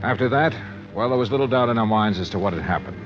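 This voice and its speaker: A man's voice: on the low side, lively intonation, fast, over music, close to an everyday voice. An American man playing a shrewd, wry private investigator in clipped 1950s radio drama style. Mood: wry narration. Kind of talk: 1950s radio drama acting and first-person narration. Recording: dull vintage 1950s radio recording.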